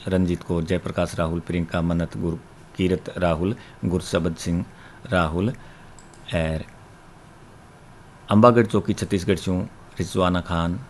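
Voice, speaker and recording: A man's voice: deep voice, slight background noise. A man with a Rajasthani accent hosting a radio request show, reeling off long lists of listener names and places. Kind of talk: reading out listener letters.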